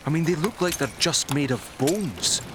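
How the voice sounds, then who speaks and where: An irritable wartime labourer with a conscience in an audio drama. His exasperated, halting speech swings widely in pitch. There is clear outdoor and vehicle noise around him. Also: very animated. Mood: troubled, hesitant. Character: troubled, irritable male railway worker with a conscience. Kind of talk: naturalistic radio drama acting.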